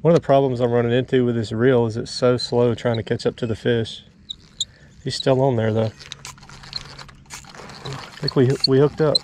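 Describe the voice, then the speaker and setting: A man giving relaxed running commentary while fishing from a small boat on a pond, recorded on a head-mounted action camera. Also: medium pitch, moderate pace, pauses often. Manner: relaxed, talks to himself while fighting fish. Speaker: fishing vlogger. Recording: outdoor action camera with clear background noise and reel clicks.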